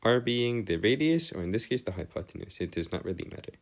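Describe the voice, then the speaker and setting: Male tutor, deep register, lively melodic swings, bookish phrasing, hesitant stop-and-start explanatory delivery. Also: quick pace, gravelly. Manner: formal, bookish wording. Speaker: math tutor. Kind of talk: improvised lesson explanation on trigonometry.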